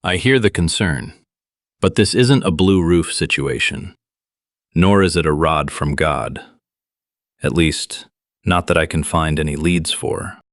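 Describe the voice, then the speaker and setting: Conspiracy show host, deep low voice, wry, conspiratorial, conversational, audio drama monologue, dry recording. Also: male voice, dry recording. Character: wry conspiracy radio show host, Miller, grown man.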